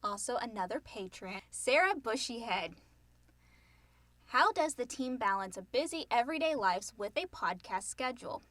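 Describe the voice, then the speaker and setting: A woman with a fairly high voice co-hosts a casual show and reads out questions. Her pitch moves around in a lively way. The recording is dry and free of room sound. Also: normal speed, no echo. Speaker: podcast co-host. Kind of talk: casual chat, reading out listener questions.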